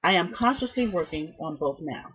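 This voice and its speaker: A woman giving a prepared student presentation, reading from notes with deliberate, emphatic phrasing and halting repeats. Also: on the low side, lively intonation, slightly rough. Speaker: student presenting a class project. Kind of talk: prepared speech, read from notes. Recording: dull, muffled lo-fi sound.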